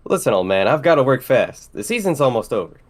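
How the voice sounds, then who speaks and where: Man playing a slick resort dockhand, lively pitch. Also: fast. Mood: impatient. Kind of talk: film script read-through on a podcast.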